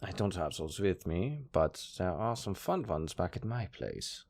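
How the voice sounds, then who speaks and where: A man's voice: low-pitched, very animated, a little slow, gravelly, darkish tone, exaggerated voice. A man playing a pragmatic, chatty necromancer who talks casually and matter-of-factly about grisly work in an audio roleplay. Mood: casual, amused. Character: chatty, pragmatic male necromancer, former tailor. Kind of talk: intimate audio roleplay acting.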